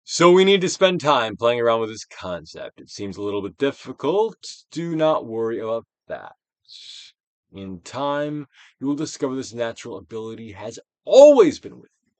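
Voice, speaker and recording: A man giving a dramatic, Shakespearean-style reading of a self-help book, deliberate and theatrical, with drawn-out emphasis. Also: no echo. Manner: theatrical Shakespearean delivery, swinging from hushed to booming. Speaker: audiobook reader.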